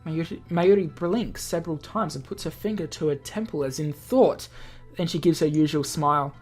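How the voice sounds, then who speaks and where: Woman, lowish voice, story narration, let's-play reading, music underneath. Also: moderate pace, a little mellow, slightly rough. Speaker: let's-play host reading a visual novel aloud. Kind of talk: expressive read-aloud narration.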